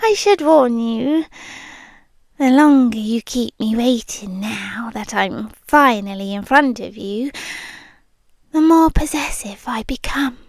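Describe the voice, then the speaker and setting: A woman performs a possessive, lovesick British stalker girlfriend in an ASMR roleplay. Her high voice is breathy and sweetly menacing, often sinking to a whisper. She speaks extremely slowly with lingering pauses, very close and dry on the microphone. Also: expressive, no room sound. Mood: quietly threatening.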